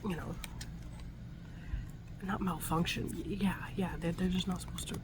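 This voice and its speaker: A woman whispering breathily in a soft, soothing, halting way as she improvises an ASMR roleplay as a gentle scientist. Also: on the low side, steady tone, noisy background. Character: gentle scientist tending a robot. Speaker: ASMR performer.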